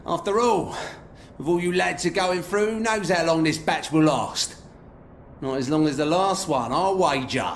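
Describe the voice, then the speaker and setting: A man's voice: a little high, moderate pace, slight background noise, close to an everyday voice. An actor with a British accent playing a wry, jovial leader placating his lads in a video game drama.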